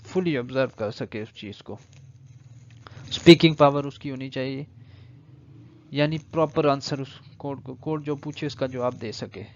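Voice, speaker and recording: A man's voice: mid-range voice, normal speed, long pauses, dull audio. A man with a Pakistani accent giving an explanatory law lecture, mixing in English legal terms and reading passages aloud before paraphrasing them.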